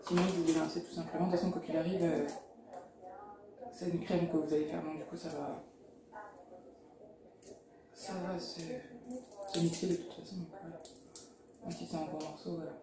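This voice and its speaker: A woman's voice: lowish voice, level tone, normal speed, pauses often, crowd noise. A woman food blogger chatting casually while she cooks, peppering her talk with little fillers as she works. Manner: chatty, fillers like hop and voilà. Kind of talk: casual cooking demo. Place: home kitchen. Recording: echoey kitchen, loud background noise.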